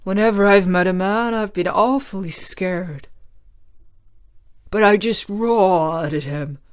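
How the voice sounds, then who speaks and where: A woman's voice: mid-range voice, takes it slowly, lightly acted. A tearful cowardly lion, as a woman puts him on. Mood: sad, plaintive. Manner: sorrowful, plaintive storybook voice. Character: timid, tearful big male lion.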